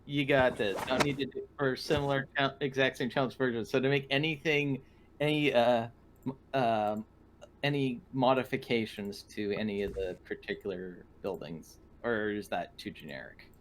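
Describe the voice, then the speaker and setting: A man talking in a casual, halting way as a player in an online tabletop game. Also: medium pitch, steady tone, slight background noise.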